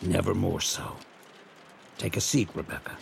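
An actor playing a mysterious, aloof occult detective in a noir audio drama, imperious and theatrical. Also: male voice, low voice, normal speed, gravelly, slight background noise. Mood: commanding. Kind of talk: scripted gothic noir audio drama acting.

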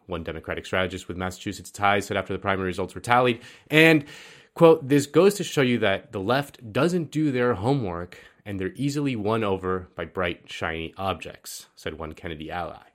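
A male host giving sarcastic political commentary, mocking and wry as he reads quotes. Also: on the low side. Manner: sardonic, mocking.

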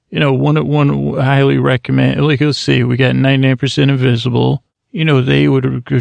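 A man's voice: mid-range voice, vocal fry, darkish tone, no echo. A man hosting a sleep podcast, rambling and wandering off topic, often stopping and restarting, close to the mic. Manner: meandering, self-interrupting, over-explaining. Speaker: podcast host. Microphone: close studio mic.

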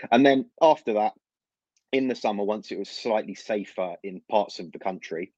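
Deep-voiced London man, dull dry call audio. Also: dry recording. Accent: London English. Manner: measured, explanatory, with soft laughs and fillers. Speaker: football journalist guest. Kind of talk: casual interview chat.

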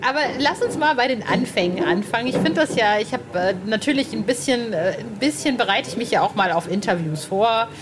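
A woman's voice: fairly high pitch, expressive, a little fast, hardly any pauses. A woman hosting a casual podcast interview, speaking in a cheeky, irreverent and slangy way. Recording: clearly noisy background ambience.

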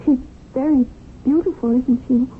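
An actress playing a gentle, perceptive, hurt wife in a radio drama, speaking plaintively. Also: female voice, sits high, fairly even intonation, moderate pace, natural voice. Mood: wounded. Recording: tinny, noisy vintage radio broadcast.

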